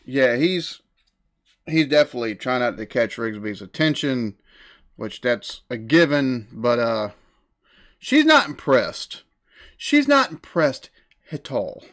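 Casting: middle-aged American guy reacting on camera, slow, very expressive pitch. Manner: laughs loudly, swears, slangy. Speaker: reaction video host. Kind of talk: casual reaction commentary. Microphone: headset mic.

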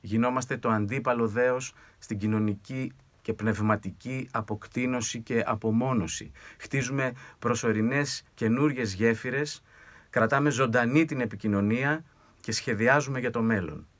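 Man, 50s, middle-pitched, reads a manifesto on a clean studio mic. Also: fairly bright tone. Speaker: theatre director. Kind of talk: scripted manifesto reading. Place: recording studio. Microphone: studio microphone with pop filter.

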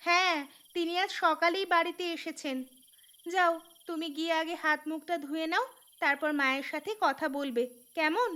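A woman's voice: very high-pitched, moderate pace, pushed and bright. A woman playing a young, hardworking daughter-in-law in a cartoon story, prone to exasperated complaint. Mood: dutiful, polite. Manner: complaining, scolding. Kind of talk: cartoon voice acting. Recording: music behind the voice.

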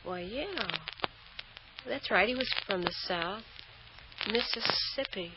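A woman's voice: mid-range voice, slow. An actress playing a guilty, hard-drinking, bitter bad girl in an old-time radio drama, with a volatile, emotional delivery. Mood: uneasy. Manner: emotional, swinging from nervy pleading to bitter relish. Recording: muffled, noisy old radio recording.

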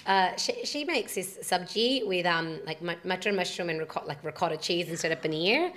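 A woman's voice: lowish voice, lively intonation, moderate pace, a bit bright. A woman in her twenties from Australia, telling stories as a guest on a stage panel in a chatty, self-deprecating way. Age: 20s. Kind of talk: casual storytelling on a panel. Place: studio stage with a panel seated in chairs. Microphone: handheld microphone.

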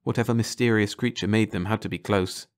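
A man's voice: on the low side, fairly even intonation, brisk. A man reading a scripted story as an audiobook narrator.

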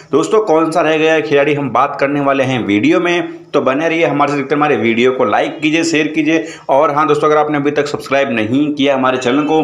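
A man's voice: mid-range voice, roomy sound. A man presenting a cricket channel in a voice-over. He keeps calling out to his viewers as friends and repeats the same stock phrases. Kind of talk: voice-over commentary for an online video. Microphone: voice-over, not recorded in pictured place.

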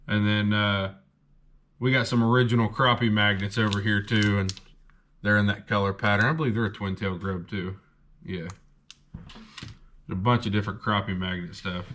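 Laid-back man with a lowish voice. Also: little pitch movement, moderate pace, pauses often, a bit bright, a little hiss or hum. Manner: laid-back, rambling with fillers. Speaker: fishing channel host. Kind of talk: casual haul video chat.

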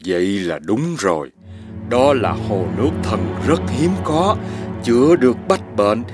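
Slow-spoken, wise old kitchen god. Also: male voice, on the low side, big pitch swings. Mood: thoughtful. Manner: measured, thoughtful, musing. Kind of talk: animated fairy tale voice acting. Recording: music under the voice.

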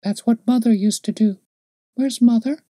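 A man voicing an innocent, solemn five-year-old girl with a high childish voice. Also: fairly even intonation, moderate pace, mellow, dry recording, clean studio sound. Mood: earnest. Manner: high childish voice, earnest and plain.

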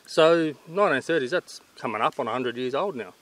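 A middle-aged man with an Australian accent and a medium-pitched voice hosts a laid-back vlog chat outdoors. His delivery is informal and matey, like an everyday conversation. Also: lively intonation, fairly quick, fairly bright tone, no room sound. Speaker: channel host interviewing. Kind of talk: casual vlog interview. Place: outdoors at a garden table in bushland.

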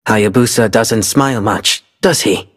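A man's voice: lowish voice, unhurried. A man playing a cocky, deadly gunslinger who hunts demons, dry and menacing, as video game character lines.

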